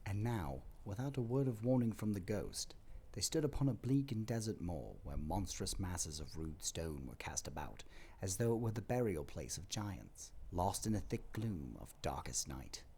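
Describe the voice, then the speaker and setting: A man narrating a scripted audio play as storyteller, in a dramatic, expressive manner. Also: sits low, moderate pace, slight background noise.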